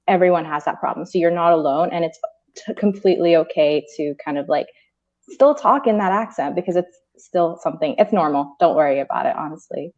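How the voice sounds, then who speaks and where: A relaxed American woman co-hosting a casual chat. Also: on the low side, lo-fi sound. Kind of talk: casual video call chat.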